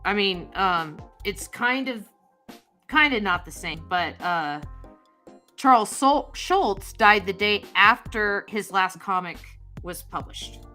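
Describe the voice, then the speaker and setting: A woman's voice: medium pitch, lively intonation, slow pace, bright, forceful tone, soft background music. A woman co-hosting a casual chat show, rambling and thinking aloud with fillers while she draws. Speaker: co-host drawing a comic.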